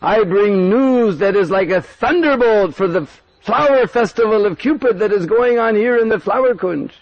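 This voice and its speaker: A man's voice: high-pitched, normal speed, dry recording, voice pushed up. A man voicing an urgent young lookout girl. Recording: dull dry recording.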